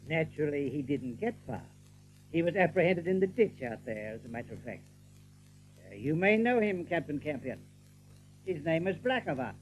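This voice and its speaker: An actor playing a rabbit general in an audiobook drama, a commanding ruler speaking in a measured, formal, authoritative way. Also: male voice, a little high, close to an everyday voice, rough recording. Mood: coolly formal.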